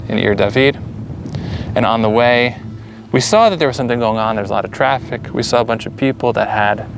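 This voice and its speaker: An American man, a guest, calmly telling personal stories in an interview. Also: mid-range voice. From United States.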